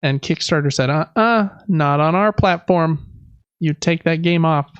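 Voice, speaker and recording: A man's voice: fairly high pitch, measured pace, fairly bright tone, clear, resonant tone, no echo, muffled recording. A man co-hosting a podcast, chatting informally about news in a casual, conversational way. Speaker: podcast co-host.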